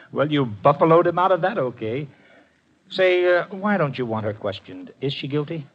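An actor playing a brisk, tough detective's assistant, wry and hardboiled, in a 1950s radio drama. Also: male voice, on the high side, wildly swooping pitch, heavily acted. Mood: wry, incredulous. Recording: muffled vintage radio with music.

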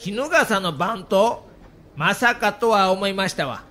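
A man's voice: high-pitched, animated, measured pace, sharp tone, full character voice. A man playing a humble, wry middle-aged baseball manager with a folksy Kansai accent in an audio documentary. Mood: rueful, conceding.

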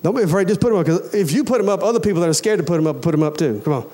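A male preacher in his forties speaks in a notably high register for a man. His delivery is folksy and emphatic, hammering phrases through repetition. He talks straight to his congregation, questioning and urging them. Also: expressive, rapid-fire, mellow. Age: 40s. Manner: folksy, colloquial, emphatic, repeats phrases for stress; addresses listeners directly with questions and urgings. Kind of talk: sermon to a congregation.

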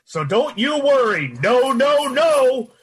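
An actor playing a jolly but weary old department store Santa, folksy and hearty, in an old-time radio drama. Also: male voice, very high-pitched, sing-song, slow pace, slightly rough, full character voice.

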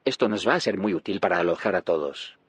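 An actor playing an idealistic, friendly leader of scientists in a naturalistic audio drama, with a husky voice. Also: male voice, low voice, expressive, fast, dry recording. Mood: friendly, hopeful.

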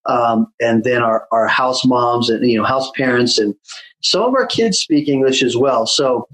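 A man with a medium-pitched voice, speaking in a relaxed conversational way. He tells his story with frequent "uh"s and restarts, as a guest in an interview. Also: a little slow, rough recording. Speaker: guest, children's home founder.

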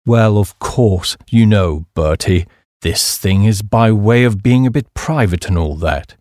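A man voicing a hapless, dim young upper-class English gentleman who blusters and rambles in slang. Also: on the low side, a little slow, no echo, studio quality, natural voice. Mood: worried.

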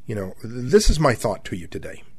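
A man in his seventies hosting a conservative radio talk show, folksy and halting, full of fillers and repeated words and often calling his listeners "my friends". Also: medium pitch, very animated, a crowd in the background. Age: 70s.

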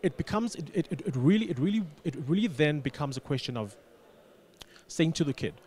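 A man answering as a radio interview guest, explaining deliberately and repeating words as he forms his points. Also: fairly high pitch, expressive, mellow. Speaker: radio station manager, studio guest.

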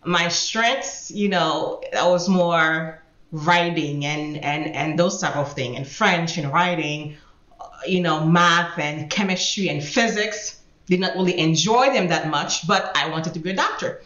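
A woman from Haiti, a guest attorney telling her life story in an unhurried, reflective way with small laughs between phrases. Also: fairly low pitch, a bit bright. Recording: roomy echo, dull sound.

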